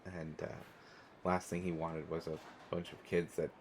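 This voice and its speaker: A man's voice: sits low, little pitch movement, normal speed, a little hiss or hum, close to an everyday voice. A man playing a shy, awkward, kind stranded traveler in an audio roleplay, hesitant, stammering and apologetic. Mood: awkward, earnest.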